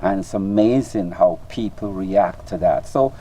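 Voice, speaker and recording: A man's voice: sits low, measured pace, dark tone, a little hiss or hum. An older man with a Guyanese accent, a storyteller and clown giving earnest interview answers, often repeating words as he searches for them.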